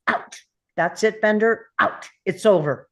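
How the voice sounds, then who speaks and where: A woman playing a bullying, sarcastic male school principal in a script read, taunting with drawn-out contempt. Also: medium pitch, lightly acted. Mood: exasperated. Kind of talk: table read of a film script.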